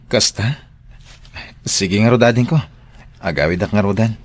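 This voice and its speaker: A man's voice: on the low side, measured pace. A man acting as a patient, polite suitor in an audio drama, with an Ilocano accent. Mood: resigned, polite.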